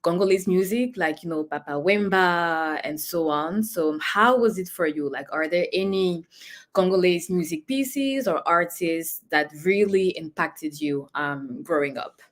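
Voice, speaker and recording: A woman's voice: lowish voice, expressive, measured pace. A woman from Cameroon hosting an interview, asking questions in a friendly, conversational way with short encouraging replies. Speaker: interview host. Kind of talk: casual interview.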